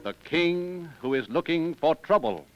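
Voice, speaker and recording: A man's voice: a little high, lively intonation, measured pace, loud music behind the voice. A man hosting and announcing in a formal, declamatory 1930s radio style. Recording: dull vintage radio recording.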